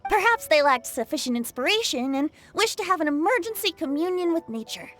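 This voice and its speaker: Breezy young male bard, high-pitched, lively intonation, playful, flowery, game dialogue. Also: a little fast. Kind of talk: video game voice acting.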